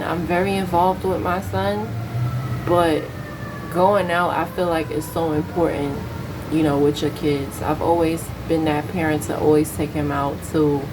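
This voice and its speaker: An American woman in her thirties talks in a fairly low voice over clear, steady background noise. She chats casually in slangy language, speaking candidly and giving her opinions freely like a vlogger. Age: 30s. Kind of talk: casual chat to camera.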